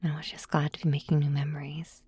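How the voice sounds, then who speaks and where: A woman reading scripted ASMR narration in a soft, breathy, intimate hush. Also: sits low, level tone, fast. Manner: hushed, intimate. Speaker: audio drama writer and performer.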